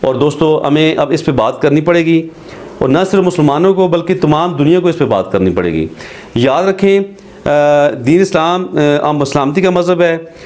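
A middle-aged man with a Pakistani Urdu accent speaks in a voice pitched slightly high for a man. He delivers studio commentary to camera in an earnest, measured way, stopping often on hesitant fillers. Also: darkish tone. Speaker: advocate commentator. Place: studio with green screen backdrop.

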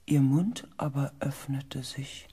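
An actor playing a shaken space expedition member in a radio drama, with a husky, breathy voice and a hushed, halting manner. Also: male voice, medium pitch, fairly even intonation. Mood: tense, hushed. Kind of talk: radio drama narration and acting.

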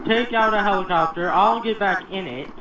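A man's voice: sits high, moderate pace. A young man chatting playfully while gaming, boastful and goofy. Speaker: gameplay co-commentator. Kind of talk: casual gameplay chat. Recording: distant roomy mic with loud game noise.

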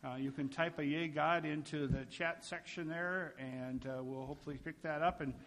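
A man's voice: fairly high pitch, fairly even intonation, normal speed, fairly bright tone. A man speaking as a pastor leading a church service and addressing the congregation. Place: large church sanctuary, indoors. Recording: echoey church hall with long reverb.

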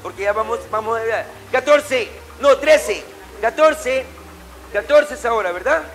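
High-pitched man barking drill orders. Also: animated, a little slow, fairly bright tone. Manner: commanding, barking short repeated orders. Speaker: martial arts instructor. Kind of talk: calling out drills and corrections in a class. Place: indoor martial arts gym with matted floor.

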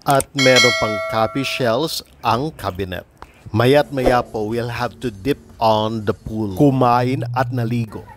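A man's voice: mid-range voice, unhurried, background noise. A man with a Filipino accent narrating a travel vlog in a polite, casual way, mixing Tagalog and English. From Philippines. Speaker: travel vlogger.

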